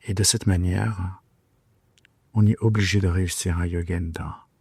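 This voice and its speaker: A man leading a guided sleep meditation in a soothing, unhurried, hesitant way with long pauses. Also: low voice, steady tone, slightly rough, no echo. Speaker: yoga nidra guide. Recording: soft guitar music behind the voice. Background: gentle plucked guitar music.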